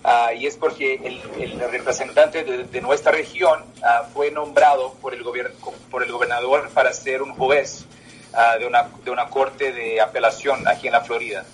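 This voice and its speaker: A man in his twenties whose first language is Portuguese, answering interview questions in halting speech with many pauses. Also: mid-range voice, fairly even intonation, a little hiss or hum, poor audio. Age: 20s. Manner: halting, with many filler sounds. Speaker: political candidate. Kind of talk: interview by phone.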